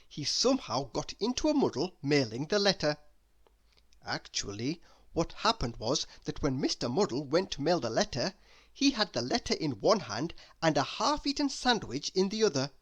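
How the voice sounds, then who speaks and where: A woman reading a children's story aloud as an expressive narrator. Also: deep voice. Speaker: story reader.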